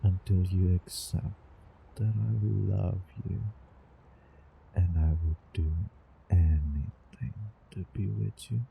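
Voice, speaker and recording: A man's voice: fairly low pitch, vocal fry, mellow, full character voice, rough recording. A man playing an obsessively devoted, doting time-traveling lover in an intimate roleplay audio drama, coaxing the listener. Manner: slow, intimate, coaxing, over-the-top devotion. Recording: background ambience, light noise bed.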